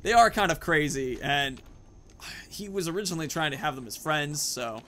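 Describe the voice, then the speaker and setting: Bright-voiced man doing gameplay commentary. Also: fairly high pitch, animated, normal speed. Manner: thinks aloud, narrating own moves and choices. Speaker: gaming video host. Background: video game music.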